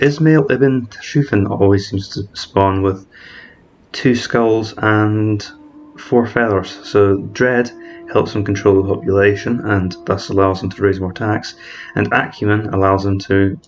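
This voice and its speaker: A man with a rather low voice walks through his strategy game campaign, thinking aloud and catching his own slips mid-word. His voice-over sounds dull and lo-fi, with a faint hiss underneath. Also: fairly even intonation, measured pace. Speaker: strategy game let's-player. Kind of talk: explanatory gameplay commentary.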